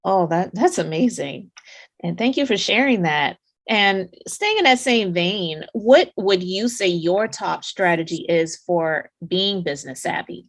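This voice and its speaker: A woman's voice: on the low side, lively intonation, a little slow. A woman hosting a business podcast interview, asking questions and praising her guest effusively. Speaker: podcast host.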